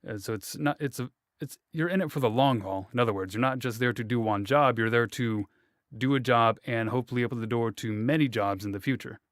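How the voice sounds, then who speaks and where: A man's voice: medium pitch, brisk, no room sound, clean studio sound. A man hosting a casual interview, asking long rambling questions with hesitations and restarts. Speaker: interviewer, host.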